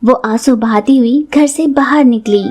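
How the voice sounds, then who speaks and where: A woman's voice: sits high, a little slow, darkish tone, faint music behind. A woman narrating a scripted moral story for children as a storyteller.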